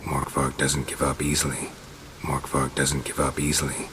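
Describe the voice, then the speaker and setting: Gruff game monster hunter, super low gravelly voice, deadpan n dry, bit of background noise. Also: male voice, normal speed. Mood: low, focused. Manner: terse, deadpan. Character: gruff middle-aged monster hunter, dry-witted, stoic. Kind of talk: video game voice acting.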